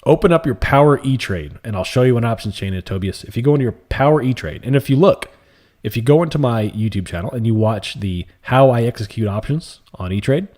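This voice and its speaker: Calm, husky-voiced man streaming live. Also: mid-range voice, fairly quick. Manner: calm, soft-spoken, laid-back. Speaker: livestream trading host. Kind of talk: casual live stream chat, reading viewer comments.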